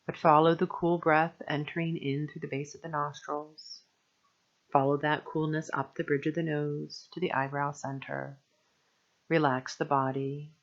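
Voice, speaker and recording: A woman guiding a meditation as a teacher, speaking gently and soothingly in measured phrases with long pauses. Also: sits low, level tone, lo-fi sound. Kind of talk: guided meditation narration.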